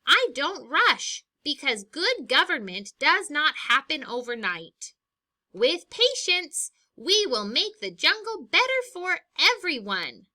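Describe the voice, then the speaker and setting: A woman's voice: high voice, big pitch swings, slow pace, sharp tone, pitched above the performer's own voice, heavily acted. A woman voicing a patient male sloth candidate giving an earnest, reassuring speech in a storybook.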